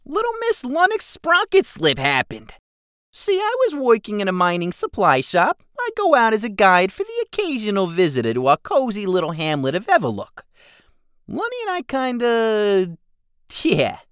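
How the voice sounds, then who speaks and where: A man voicing a big, bald, complaining male goblin in a storybook reading, with a high, squeaky voice and a whining, rambling manner. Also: huge pitch swings, moderate pace, fairly bright tone, clear, resonant tone, no room sound, studio quality, exaggerated voice. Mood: whiny rambling. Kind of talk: audiobook character voice.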